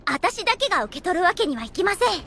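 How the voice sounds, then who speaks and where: A woman's voice: very high voice, big pitch swings, fast talker, a bit bright, a little hiss or hum, full character voice. An actress playing a spirited, loyal young elf girl, a tomboyish big sister, in an anime audio drama. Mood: earnest, modest. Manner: spirited, bossy with sibling, eagerly polite to master.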